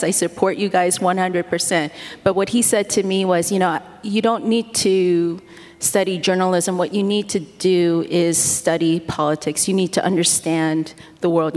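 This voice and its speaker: A middle-aged woman born in the United States, whose first language is Korean, talking as a guest in an interview, rambling and self-deprecating with many fillers. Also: fairly low pitch. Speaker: guest speaker. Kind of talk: casual interview talk. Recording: long hall reverb.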